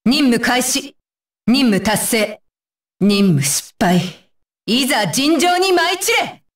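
Stern, deliberate young ninja bodyguard. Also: female voice, on the high side, very animated, dry recording, clean studio sound, full character voice. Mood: battle-ready, forceful. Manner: clipped, commanding, terse masculine phrasing. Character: stern, dutiful young female ninja bodyguard, blunt and proud. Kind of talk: Japanese anime game voice lines.

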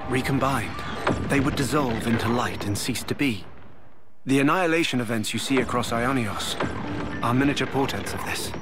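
A man playing a solemn warrior-sage in a video game cutscene, speaking formally and with measured gravity. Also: medium pitch, music in the background, close to an everyday voice. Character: solemn, measured male sage-warrior explaining lost worlds.

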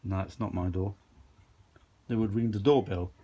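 A man's voice: on the low side, steady tone, moderate pace, warm tone, slightly rough, muffled recording. A man chatting casually and off the cuff, rambling along with informal enthusiasm as he shows his gear. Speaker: vintage audio enthusiast presenting his gear. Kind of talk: casual off-the-cuff chat, handheld demo. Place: carpeted room at home.

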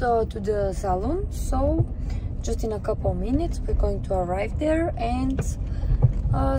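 A young woman from Bulgaria with a Bulgarian accent, vlogging casually into her phone and pausing often with fillers. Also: fairly high pitch. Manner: hesitant, many fillers. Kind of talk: casual vlog while driving. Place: inside a car, then a salon. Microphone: phone camera at arm's length. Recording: car noise behind.

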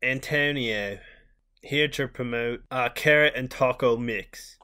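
A man voicing an upbeat celebrity carrot, pitching like a salesman in a cartoon TV commercial. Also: takes it slowly, sharp tone, clear, resonant tone.

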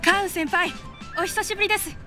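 Earnest, high-voiced junior clerk. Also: female voice, fairly even intonation, brisk, over music. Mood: relieved, respectful. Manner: deferential, formal, earnest. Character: earnest, troubled young female junior clerk. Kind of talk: anime game voice acting.

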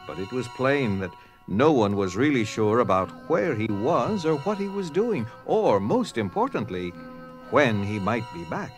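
A man narrates a dramatized story from a script. His mid-range voice is lively and expressive, with music clearly behind it. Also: medium pitch, a little slow.

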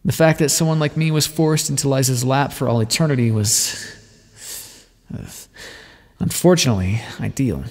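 A man's voice: mid-range voice, darkish tone, natural voice. A man playing a bitter, sardonic vampire scientist in an audio roleplay, wry, wordy and theatrical. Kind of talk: ASMR roleplay monologue, dramatic storytelling. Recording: long reverberant echo on the voice.

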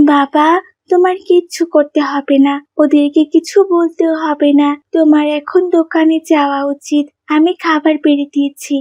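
A gentle, submissive cartoon girl, voiced by a woman, dutiful and sweet. She speaks earnestly in a high, dry-sounding voice. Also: little pitch movement, normal speed, dry recording, dull audio, close to an everyday voice. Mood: gentle, reassuring. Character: meek, sweet, dutiful young daughter. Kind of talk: animated moral cartoon voice acting.

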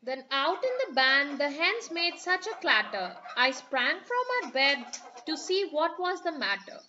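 High bright lady reading a kids' picture book, sing-songy rhymes, kinda dull lo-fi sound. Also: sing-song, measured pace, background noise. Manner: sing-song rhyming storytelling. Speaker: children's book reader. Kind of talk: storybook read-aloud.

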